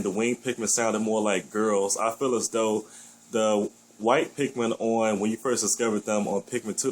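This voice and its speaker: A man with a deep voice giving his blunt, opinionated take on a new game in a casual vlog, swearing freely as he gripes. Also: poor audio. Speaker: gaming vlogger.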